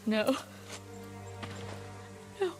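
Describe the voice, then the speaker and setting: A fierce, grief-hardened warrior noblewoman speaks slowly and deliberately. She sounds vengeful, in cinematic game style, with music clearly behind her. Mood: anguished, angry. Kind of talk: dramatic video game acting.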